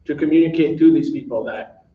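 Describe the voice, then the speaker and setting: A man's voice: a little high, fairly quick. A man from Sri Lanka speaking bluntly and emphatically as a participant in an informal community meeting. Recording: muffled distant mic, echoey meeting room.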